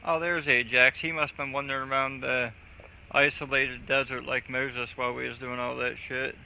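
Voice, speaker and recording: A man's voice: mid-range voice, little pitch movement, normal speed, vocal fry, highs cut off, a little hiss or hum. A man streaming a game live, chatting casually with crude, profane jokes. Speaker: gaming streamer.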